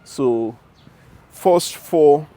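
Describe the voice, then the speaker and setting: A man's voice: fairly high pitch, extremely slow, mellow. A Nigerian man in his thirties, vlogging as he walks down a city street and talks earnestly to his camera, repeating phrases for emphasis. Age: 30s. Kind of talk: walking personal vlog to camera. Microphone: handheld self-filming camera.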